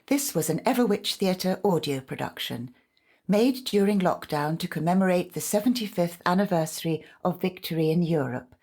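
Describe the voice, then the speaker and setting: A woman's voice: deep voice, a little mellow. A woman reading scripted closing credits for an audio play as its announcer.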